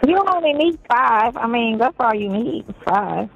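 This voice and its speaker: A woman in a casual private phone chat, low-key and giving short replies. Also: lively intonation, measured pace, vocal fry, a bit bright. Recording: dull recorded jail phone call.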